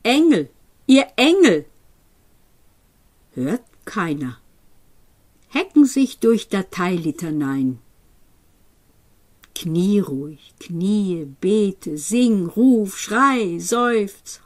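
Slow, dramatic woman reciting poetry. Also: mid-range voice. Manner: deliberate phrasing with forceful exclamations and hushed drops. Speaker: poetry reader.

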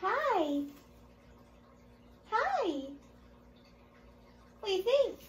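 A teenage girl vlogger with a squeaky helium-altered voice, chatting casually to camera in a living room. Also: big pitch swings, drawn-out words, slight background noise. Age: teens.

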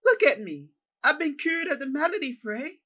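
A narrator voicing a grown man who talks like an excited child, pleading for his brother's approval. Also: high voice, normal speed, dull audio, voice pushed up. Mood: eager.

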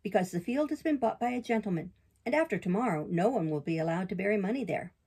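A woman voicing a sly, flattering male fox in a storybook reading, speaking with smooth, theatrical sympathy. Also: medium pitch, fast. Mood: wheedling.

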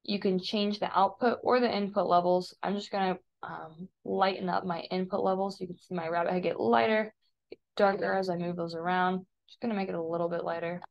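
An American woman instructor talking informally through a software demonstration, step by step, as she does each action. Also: on the low side, steady tone, brisk, gravelly, highs cut off. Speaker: instructor giving a software tutorial. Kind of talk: casual step-by-step screen demonstration.

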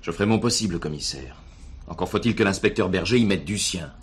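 A young trainee policeman, touchy and formal, talks fast in a low voice. It is French radio drama with faint noise behind. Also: lively intonation. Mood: prickly. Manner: formal, prickly.

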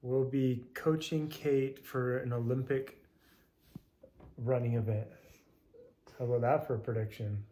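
A man's voice: mid-range voice, fairly even intonation, a little slow, long pauses, mellow, a little hiss or hum, low-quality recording. A man in his forties leading his family through a home video, upbeat and teasing as he quizzes each person, recorded on a phone at arm's length in a living room. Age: 40s. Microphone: phone camera held at arm's length.

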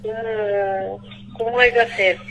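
A woman's voice: medium pitch, steady tone, slow pace, a bit bright. A woman in a casual phone conversation over speakerphone, plain-spoken and repeating herself. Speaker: pet owner receiving a call. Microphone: phone call played over speakerphone. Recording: noisy speakerphone call.

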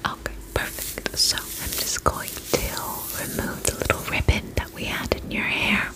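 A woman whispering gently and reassuringly in a breathy voice, as a spa attendant in an ASMR roleplay. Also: moderate pace, rough recording. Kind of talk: ASMR roleplay, personal-attention service talk.

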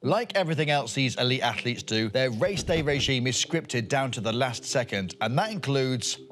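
A man's voice: mid-range voice, animated, moderate pace, over music. A man in his thirties hosting a scripted studio explainer, playful with punning asides. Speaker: show host.

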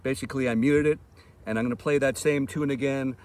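A man's voice: mid-range voice, steady tone, fast, darkish tone. A man giving casual product demo commentary as a gear reviewer, talking outdoors in the open. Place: open park lawn outdoors. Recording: outdoor recording with street traffic noise. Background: passing cars, outdoor ambience.

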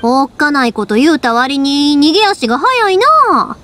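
Casting: spirited young woman, Kansai accent, very high, animated, insistent and bold, anime game. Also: normal speed, slight background noise, heavily acted. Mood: brisk. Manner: forthright, insistent. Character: spirited, bold, warm-hearted young woman, fireworks maker.

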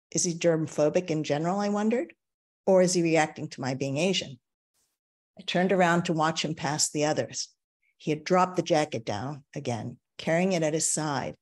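Low-voiced woman reading her essay. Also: a little mellow. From United States. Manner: measured, reflective reading with emphatic turns. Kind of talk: reading a personal essay aloud. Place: home study with bookshelves. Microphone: video call.

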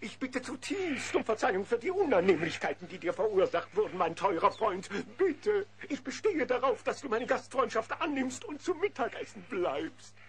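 A man's voice: extremely high pitch, very animated, normal speed, hardly any pauses. A man playing a pompous, theatrical villain duke with florid diction in a cartoon audio drama. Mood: oily politeness. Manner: pompous, theatrical, florid insults and oily courtesy. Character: pompous, irascible villain duke, slyly flattering when plotting.

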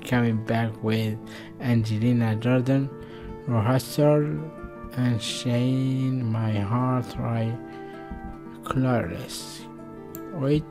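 A man in his thirties with an Algerian accent, hosting a casual reaction vlog in a halting, repetitive way. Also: mid-range voice, steady tone, music in the background. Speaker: reaction video host. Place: small room indoors.

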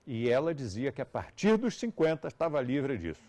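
A man's voice: mid-range voice, very animated, normal speed, darkish tone. A man in his seventies with a Brazilian Portuguese accent, giving a live lecture to students in a conversational way with ironic asides. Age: 70s. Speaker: yoga teacher.

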